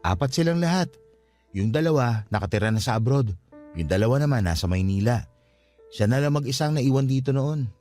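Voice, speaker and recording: Guy acting as polite young filipino caretaker in a radio drama, reassuring, average pitch, light music behind. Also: lively intonation, brisk, darkish tone, lightly acted. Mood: reflective. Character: helpful young male caretaker of a haunted guesthouse, calm, respectful.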